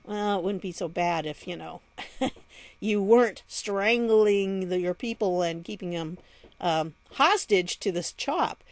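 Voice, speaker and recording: A woman's voice: mid-range voice, unhurried, dry recording. An American woman giving casual news commentary, reading articles aloud in a wry, informal way with chatty asides. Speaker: online commentary host.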